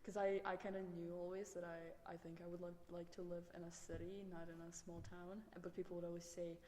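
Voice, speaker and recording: A woman's voice: fairly low pitch, level tone, fairly quick, a little mellow. A seventeen-year-old woman from Zagreb with a Croatian accent, chatting casually and a bit giggly as she answers interview questions. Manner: giggly, often laughing as she talks. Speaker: interviewee, exchange student. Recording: echoey hall, faint background noise.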